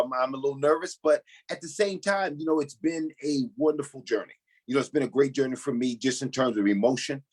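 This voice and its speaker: Middle-aged pastor, medium-pitched male voice. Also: a little mellow, breathy edge. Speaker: pastor and stage actor being interviewed. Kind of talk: conversational interview answers.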